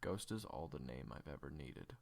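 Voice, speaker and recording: A man's voice: rumbling low, lively intonation, moderate pace, vocal fry, full character voice. A man playing a weary, forgiving young man in an audio drama, speaking in terse, casual, reassuring phrases. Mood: weary, subdued. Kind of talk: audio roleplay drama acting.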